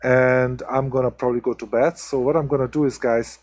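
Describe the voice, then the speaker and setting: Chatty Hungarian-accented streamer. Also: male voice, mid-range voice, fairly even intonation, fairly quick, darkish tone. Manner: chatty, talks to viewers by name, many ums and restarts. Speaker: livestream host. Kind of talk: casual chat with live viewers.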